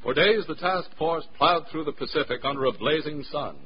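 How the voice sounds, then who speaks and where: Old dull radio recording, American man, higher-than-average voice, formal announcer, solemn emphatic scripted narration. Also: normal speed, breathy edge.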